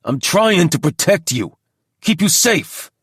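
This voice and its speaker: A man's voice: on the high side, very animated, takes it slowly, fairly bright tone, breathy edge, dry recording, studio quality, pitched above the performer's own voice, exaggerated voice. A man voicing a burly, protective male cop who snarls threats in a raised, strained voice. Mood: stern.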